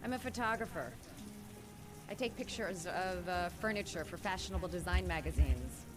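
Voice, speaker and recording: A woman's voice: on the low side, moderate pace, lightly acted. Woman acting guarded young woman, music and rain. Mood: guarded, casual. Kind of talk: video game acting.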